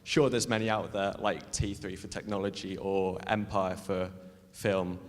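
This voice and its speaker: Low-voiced student developer pitching. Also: male voice, fairly even intonation, unhurried. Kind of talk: short startup pitch. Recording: long hall reverb.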